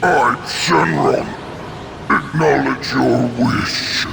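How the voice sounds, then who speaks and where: An actor playing a huge ancient dragon in an anime video game, grand, solemn and commanding. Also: male voice, medium pitch, extremely slow, creaky, over music, exaggerated voice.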